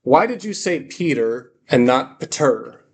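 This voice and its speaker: A man with a mid-pitched voice teaches a class in an informal lecture style. He is reassuring, stresses key points by repeating them, and keeps checking that listeners follow. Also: lively intonation, a little slow, vocal fry, husky. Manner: reassuring, often checks understanding with "okay" and "right". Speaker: language teacher.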